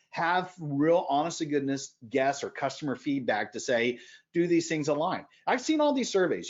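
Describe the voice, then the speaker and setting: A man speaking as a podcast guest in animated conversation, emphatic, with loud bursts and quieter asides. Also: on the high side, lo-fi sound.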